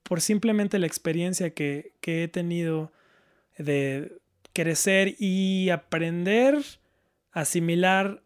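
Man, fairly high voice, casual, reflective, halting, repeats words. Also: smooth. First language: Spanish. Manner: halting, thoughtful, repeats words while searching for phrasing. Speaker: panelist, learner of Japanese.

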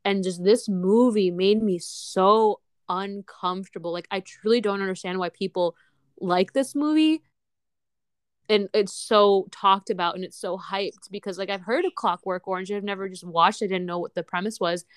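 A woman's voice: mid-range voice, moderate pace, clear, resonant tone, dry recording, studio quality. A woman co-hosting a casual podcast chat, talking bluntly and filling her sentences with "like". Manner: blunt, peppered with "like". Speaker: podcast co-host.

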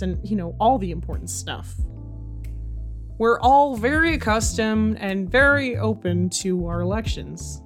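A woman's voice: mid-range voice, natural voice. A woman playing a flustered, placating night radio host in an audio comedy, chatty and rambling, often interrupting herself. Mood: wry reporting. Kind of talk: audio comedy drama in radio show format.

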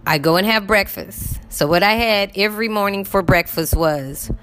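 A woman's voice: mid-range voice, normal speed, fairly bright tone, slight background noise, poor audio. A woman in her fifties chatting casually to her viewers, rambling with lots of fillers while getting over a cough. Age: 50s. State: recovering from illness, cough. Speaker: vlogger.

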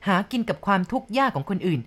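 A woman voicing a vengeful female ghost in a storybook tale, shouting slow threats. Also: medium pitch, sing-song, breathy edge. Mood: furious. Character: vengeful female ghost, huge dark shadow, furious.

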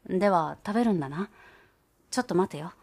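A woman voicing a blunt, gruff young man who plays the cello, in a storybook reading. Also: lowish voice, expressive, brisk, darkish tone. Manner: blunt, rough speech.

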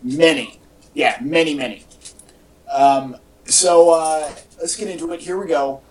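A man's voice: on the high side, a little slow. A man hosting a podcast in casual, rambling chat with a wry, joking manner. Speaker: podcast host. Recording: distant mic, echoey in parts.

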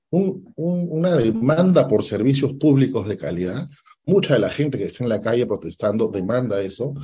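A man, a political analyst answering interview questions, deliberate and sometimes stopping to search for a word. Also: mid-range voice, warm tone, husky. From Peru. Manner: deliberate, stops to search for words.

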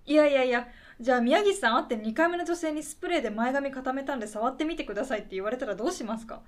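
Woman acting a higher-voiced, patient female caseworker. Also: very fast, lightly acted. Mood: awkward, puzzled. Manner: courteous, reassuring, tentative. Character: polite, patient, slightly puzzled female welfare caseworker. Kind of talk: naturalistic conversational radio drama acting.

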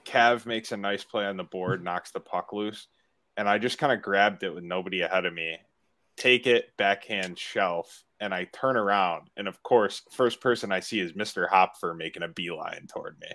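Young male regular with a lowish voice; tells self-deprecating stories, quick to laugh. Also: pushed and bright. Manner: self-mocking storyteller, laughs often. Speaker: podcast regular. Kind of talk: casual chat.

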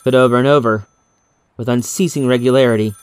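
A man's voice: medium pitch, fairly even intonation, quick pace, clear, resonant tone, no room sound. A man reading a horror story as an audiobook narrator, in a dramatic scripted style.

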